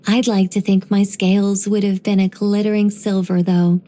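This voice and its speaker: A woman voicing an ancient angel in a video game, dignified yet whimsical and chatty, with a measured, gently playful delivery. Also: medium pitch, level tone, close to an everyday voice. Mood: wistful, serene. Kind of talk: video game character voice lines.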